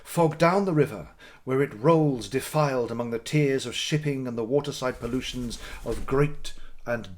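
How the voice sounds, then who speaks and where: A man narrating classic literature as a dramatic audiobook, with theatrical, deliberate phrasing and pauses for effect. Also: medium pitch, darkish tone.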